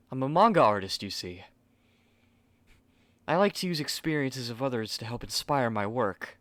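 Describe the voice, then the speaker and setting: Guy doing a snooty fussy young male manga artist, asmr roleplay, curt and precise, mid pitch, dry close sound. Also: dry recording, natural voice. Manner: curt, haughty, articulate. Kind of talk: ASMR roleplay spoken to the listener.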